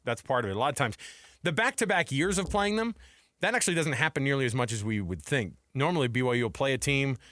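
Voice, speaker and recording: Casting: American male sports talk co-host, average pitch, colloquial off-the-cuff banter, very dry studio acoustics. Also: fairly bright tone, no room sound.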